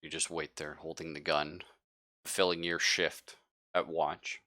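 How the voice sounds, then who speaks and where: A man improvising narration as game master of a tabletop game, telling it haltingly and with suspense. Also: extremely low pitch, flat delivery, pushed and bright.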